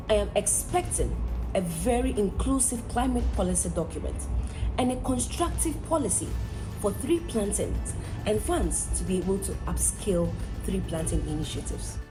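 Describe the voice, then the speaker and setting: A woman from Ghana, speaking in a measured, purposeful way in a scripted testimonial. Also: medium pitch. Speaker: project coordinator. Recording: music bed under the voice.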